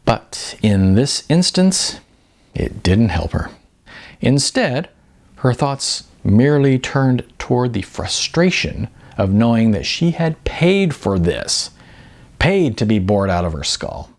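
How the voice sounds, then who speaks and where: A man reading aloud from his own novel in an unhurried, expressive storytelling manner, with wide swings in pitch. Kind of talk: audiobook-style novel reading.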